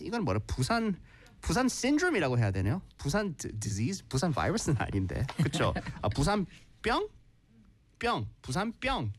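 A man co-hosting a live stream whose pitch jumps all over as he talks, speaking with a slow, unhurried pace. He switches between Korean and carefully spaced English, calling out to his viewers. The sound is dry and close to the mic. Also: no echo. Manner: animated, calls out to viewers, slow clear English explanations. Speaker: co-host teaching English.

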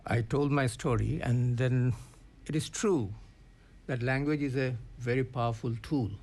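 A man's voice: mid-range voice, unhurried, darkish tone. A man from India, a professor sharing memories on a panel. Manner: recalls memories, stammers at starts. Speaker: guest, professor. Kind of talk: panel talk.

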